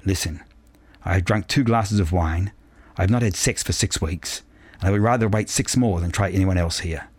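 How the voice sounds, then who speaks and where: A man's voice: low-pitched, normal speed, no room sound. A man voicing a forward, direct French woman academic of about thirty-five in an audiobook. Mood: blunt, forward.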